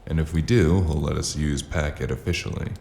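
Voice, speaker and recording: A weary noir investigator in a cyberpunk audio drama. The voice is extremely low and gravelly, with a dark, mellow tone. Lines come dry and sardonic, clipped into short phrases, over faint noise. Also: male voice, brisk. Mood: dry, guarded. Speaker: lead actor and narrator.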